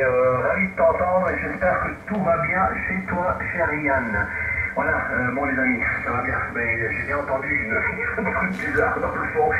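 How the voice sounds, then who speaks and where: A jovial, chatty man running an amateur radio conversation and passing the microphone around, heard over shortwave. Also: medium pitch. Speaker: amateur radio net controller. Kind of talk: casual ham radio chat, passing the microphone. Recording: noisy, muffled, echoey distant shortwave radio reception. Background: loud radio static.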